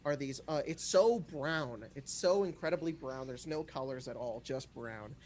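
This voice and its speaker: A man's voice: medium pitch, normal speed, crowd noise. A man narrating an improvised tabletop game as game master, setting scenes in a dramatic way.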